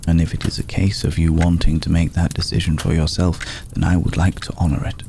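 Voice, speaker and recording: A man voicing an ancient, courtly, protective vampire lord in a hushed ASMR roleplay, speaking formally and with deliberate pauses. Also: low voice, little pitch movement, vocal fry, a little hiss or hum. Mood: gentle, earnest. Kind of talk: ASMR roleplay, slow intimate scripted monologue.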